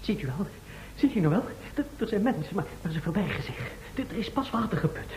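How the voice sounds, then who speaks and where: A man's voice: high-pitched, sing-song, quick pace, a little mellow. A man playing a timid, jumpy traveller from the future in a radio play, stammering and hesitant with anxiety. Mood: anxious. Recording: roomy, faintly noisy old radio recording.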